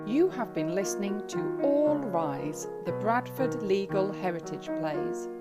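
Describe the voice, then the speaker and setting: Slow-paced woman announcer over music. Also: medium pitch, animated. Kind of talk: scripted radio credits narration. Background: piano or keyboard music.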